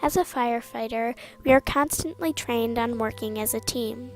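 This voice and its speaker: A woman's voice: on the high side, normal speed. A woman narrating a written tribute in a sincere, plain reading style. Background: faint background music.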